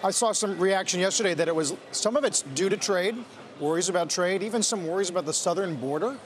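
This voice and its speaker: A man, a TV news anchor, asking brisk, matter-of-fact questions in a live business interview. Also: high voice, slight background noise.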